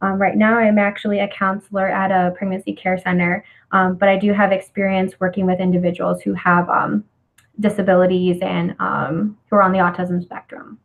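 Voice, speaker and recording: A woman in her twenties from the United States, a student reading out a scripted research presentation in a measured way. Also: medium pitch, flat delivery, gravelly, phone-quality sound. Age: 20s.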